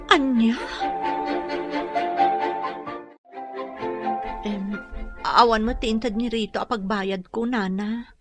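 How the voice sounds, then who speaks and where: A woman's voice: fairly high pitch, fairly even intonation, a little slow, music in the background, lightly acted. A woman playing a worried, apologetic mother in a radio drama, pleading with a Filipino accent. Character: worried, pleading mother behind on boarding house rent.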